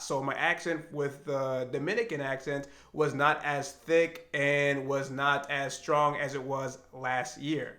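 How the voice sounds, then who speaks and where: An American man speaks in an earnest, reflective way, as if thinking aloud. His voice sits a little high for a man. The room around him carries a noticeable echo. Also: bright, forceful tone. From United States. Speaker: language learning vlogger. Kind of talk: reflective personal vlog.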